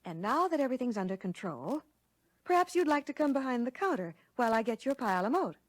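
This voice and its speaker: A woman playing a courteous American lunch counter waitress, explaining service clearly in a scripted 1960 training film. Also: on the high side, sing-song, fast talker, dry recording, studio quality. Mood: pleasant, instructive. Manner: courteous, instructive, clearly worded.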